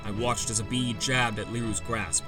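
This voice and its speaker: A man's voice: mid-range voice, fairly even intonation, moderate pace. A man giving dramatic scripted first-person narration for a fantasy audiobook. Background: background music.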